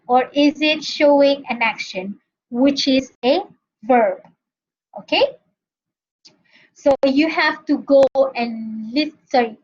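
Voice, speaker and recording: High, slow-paced Malaysian woman lecturing. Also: highs cut off. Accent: Malaysian English. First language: not English. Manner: explains step by step, often checks with "Okay?". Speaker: university English lecturer. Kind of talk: recorded online grammar lesson.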